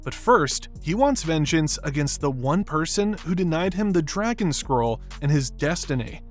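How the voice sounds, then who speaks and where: A man's voice: fairly high pitch, lively intonation, normal speed. A man delivering scripted narration for an analytical video essay. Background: background music.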